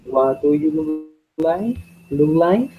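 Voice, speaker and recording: A man teaching a beginner English class. He speaks haltingly and repeats each question several times. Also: a little high, lively intonation, over music. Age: 20s. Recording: dull lo-fi audio with faint noise.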